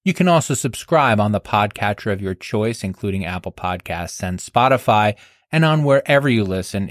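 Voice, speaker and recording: Low-voiced male radio host. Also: dry recording, clean studio sound. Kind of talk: public radio interview and announcements.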